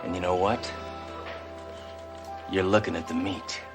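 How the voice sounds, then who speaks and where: An actor playing a cocky, tough cop who talks in gruff deadpan one-liners. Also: male voice, low-pitched, measured pace, over music.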